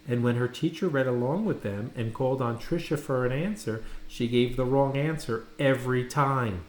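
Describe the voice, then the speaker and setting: A man reading a children's story aloud, dramatically, with pauses and stressed words. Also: mid-range voice. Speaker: storybook reader. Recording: roomy echo, faint background noise.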